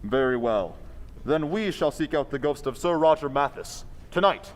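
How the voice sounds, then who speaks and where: A bold gentleman investigator played by a man speaks in a fairly high, measured and formal voice. He stays composed and probing, in old radio drama style, with long hall reverb and some hiss. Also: lively intonation, natural voice. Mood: composed questioning.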